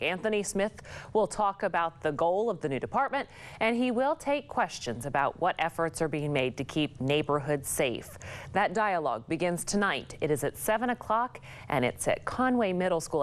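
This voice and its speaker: Woman anchor with a lively, low voice. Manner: lively, inflected newsreader delivery. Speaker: news anchor. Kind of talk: scripted news reading.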